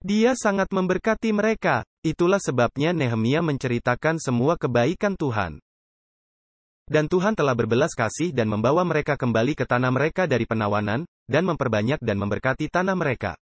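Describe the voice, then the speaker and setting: A man delivers a sermon in a mid-pitched voice, earnest and emphatic, often turning to his listeners with questions. The recording is close and dry, with no room sound. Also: expressive, brisk, no echo. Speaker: preacher. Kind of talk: dubbed sermon.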